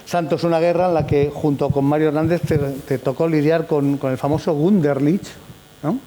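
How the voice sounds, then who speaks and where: A man's voice: on the high side, fairly even intonation, moderate pace, dark tone. A man moderating a round table at a public event, announcing names with rising emphasis and then asking chatty questions.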